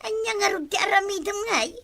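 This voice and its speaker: A woman's voice: extremely high pitch, fast talker, gravelly, pushed and bright, full character voice. A woman with an Ilocano accent playing a village woman in a comedy drama.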